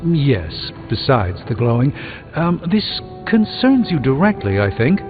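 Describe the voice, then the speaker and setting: An actor playing a learned, dry-witted sorcerer superhero in a video game, speaking in a measured, articulate way. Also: male voice, mid-range voice, big pitch swings. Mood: pensive, grave. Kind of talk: video game dialogue acting. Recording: muffled thin band, reverberant, music behind.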